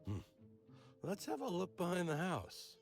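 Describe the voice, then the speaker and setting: A man's voice: high-pitched, wildly swooping pitch, unhurried, creaky, soft background music, full character voice. A man playing an unobservant, muttering guard on patrol in a video game. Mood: bored, searching.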